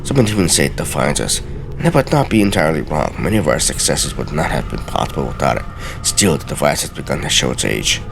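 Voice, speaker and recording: Man, very low gravelly voice, theatrical dramatic reading, deliberate, animated intonation, music behind. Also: background noise. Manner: theatrical, deliberate, stumbles over words. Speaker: let's play host. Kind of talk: dramatic reading of video game journal pages.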